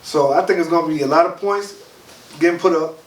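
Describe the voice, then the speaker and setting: A man's voice: on the high side, fairly quick. A man with a stuffed-up nose, co-hosting a podcast in a laid-back, chatty way. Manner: laid-back, chatty, frequent "you feel what I'm saying". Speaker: podcast co-host. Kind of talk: casual podcast chat. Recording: echoey room, mic at a distance, faint noise.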